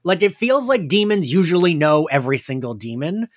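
A man's voice: fairly high pitch, sing-song, brisk. A man hosting a casual recap discussion, conversational and often questioning. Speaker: podcast host.

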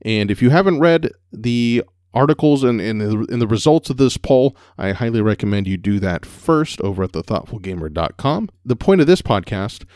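Host read: man, low and smooth voice, dry close sound, measured conversational delivery. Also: lively intonation, darkish tone, no room sound. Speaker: podcast host. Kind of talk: podcast interview hosting.

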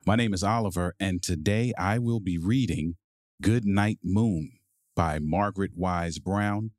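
A man hosting a podcast and reading a children's picture book aloud in a measured, deliberate bedtime-story delivery. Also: low-pitched, a little mellow, dry recording, clean studio sound.

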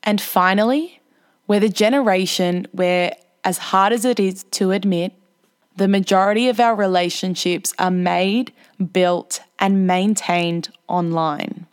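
A woman's voice: medium pitch, fairly even intonation, a little slow, smooth. A woman in her twenties hosting her own podcast, reading a scripted narration in a candid, self-deprecating way. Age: 20s. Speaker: podcast host.

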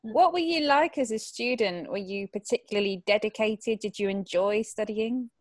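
A woman asks friendly, curious interview questions. Her pitch sits in the middle. The recording is dry and close. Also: moderate pace, a little mellow, no room sound. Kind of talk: casual interview.

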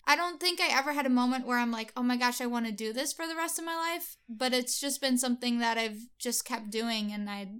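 Giggly young woman, smooth higher voice. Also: a little fast, few pauses, a bit bright. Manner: hesitant, many fillers and giggles. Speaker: guest singer-songwriter. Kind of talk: casual interview answers.

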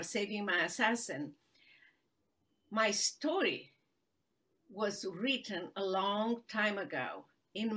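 A woman with a Romanian accent tells her story as a guest, speaking slowly and earnestly. She often pauses on fillers as she searches for words. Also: medium pitch, fairly bright tone. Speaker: guest, human rights attorney. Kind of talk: interview storytelling.

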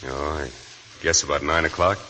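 Cornered newspaperman: low, forceful voice, guarded and placating, vintage radio thriller with faint hiss. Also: steady tone, moderate pace. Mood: uneasy, placating. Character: uneasy, placating newspaper reporter held at gunpoint. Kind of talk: 1940s radio drama acting.

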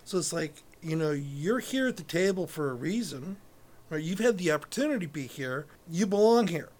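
A man's voice: on the high side, lively intonation, moderate pace, a little mellow. A man co-hosting a podcast, talking in an encouraging, reflective, casual way. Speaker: podcast co-host. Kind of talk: casual interview chat.

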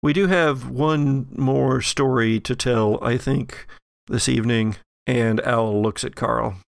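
A man with a heavily creaky, gravelly voice tells what his character does in a tabletop game. His delivery is improvised and conversational, at a middling pitch. The sound is very dry. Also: steady tone, takes it slowly, dry recording. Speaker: tabletop role-playing game player. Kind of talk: conversational improvised storytelling, narrating a character's actions.

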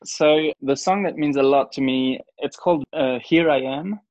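A man's voice: a little high, moderate pace, a little mellow, no room sound. Young man, New Zealand-tinged accent, dull recording. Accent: New Zealand-influenced. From Switzerland. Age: young adult. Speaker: podcast guest, church worship singer. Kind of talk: casual interview chat.

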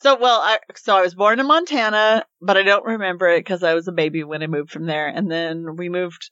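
A woman's voice: fairly low pitch, a little fast, a bit bright, no echo. A woman in her forties from Oklahoma, a podcast guest telling rambling stories about her childhood with frequent laughs. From Oklahoma, USA. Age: 40s. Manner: chatty, giggly, rambling storyteller. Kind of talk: casual interview chat. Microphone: studio mic. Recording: slightly dull, dry studio sound.

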